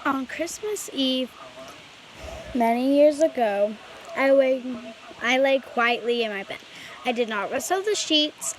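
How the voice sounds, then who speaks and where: Female storybook reader, higher-pitched, unhurried and halting, on a slightly noisy amateur home recording. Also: animated. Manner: halting read-aloud with stumbles. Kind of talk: reading a children's picture book aloud.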